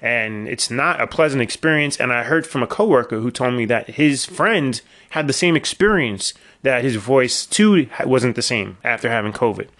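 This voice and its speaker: A man's voice: medium pitch, moderate pace, hardly any pauses, a bit bright. Middle-aged New man rambling. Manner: rambling storytelling with restarts and wry asides. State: voice weakened after illness. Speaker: podcast host. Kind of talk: casual solo podcast monologue.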